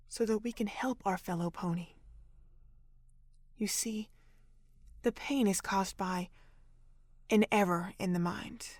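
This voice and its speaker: Calm motherly pony doctor lady, medium pitch, gentle, deliberate, really dry audio drama sound. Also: no echo.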